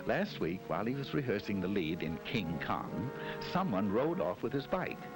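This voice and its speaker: A man's voice: lowish voice, very animated, moderate pace, poor audio. A man giving scripted 1960s educational film narration in a wry, mock-solemn storytelling manner. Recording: narration over loud music.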